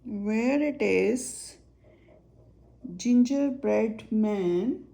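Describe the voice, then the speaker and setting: A woman's voice: fairly high pitch, very slow, creaky, mellow. A woman reading a search-and-find picture book aloud for young children, naming items in short, simple phrases and asking questions.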